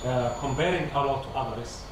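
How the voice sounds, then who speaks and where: A man on a lecture panel answering a question with a hesitant manner, pausing on many fillers. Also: mid-range voice. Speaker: co-researcher on the panel. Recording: echoey hall from far off, noisy.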